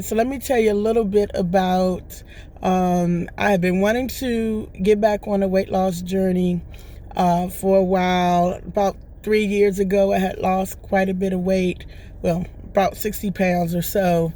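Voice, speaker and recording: A woman with a hoarse voice that is still recovering, talking casually and at length to her vlog viewers and often asking "right?". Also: mid-range voice, fairly even intonation, a little slow, warm tone. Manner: rambling, chatty, often checks in with "right?". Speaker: vlogger. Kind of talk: casual testimonial chat.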